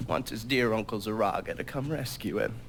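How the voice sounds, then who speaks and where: Dry, weary handler. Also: male voice, lowish voice, brisk, gravelly, a little hiss or hum. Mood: briefing. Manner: dry, sighing, matter-of-fact briefing. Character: weary middle-aged male intelligence handler.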